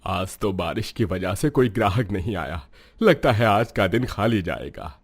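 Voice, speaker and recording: An actor voicing a poor, humble roadside cook and worried father in a cartoon story, polite and soft-spoken. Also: fairly low pitch, animated, a little slow, slightly rough, exaggerated voice. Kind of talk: cartoon voice acting.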